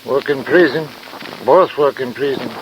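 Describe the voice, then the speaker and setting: An actor playing a wary fifty-year-old prisoner in a radio drama, speaking broken English in short blunt phrases. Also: male voice, mid-range voice, moderate pace, close to an everyday voice. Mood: wary, weary. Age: 50s. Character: wizened fifty-year-old male prisoner, poor petty thief, protesting. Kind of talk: 1950s radio drama acting. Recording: noisy old radio recording.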